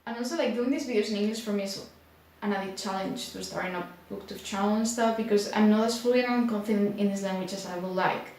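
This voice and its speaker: A young woman from Spain speaking English with a Spanish accent, chatting casually to camera in an unhurried way, full of fillers like "like". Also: medium pitch, darkish tone. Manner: unhurried, many filler likes, slightly unsure. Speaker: booktuber. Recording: very echoey room.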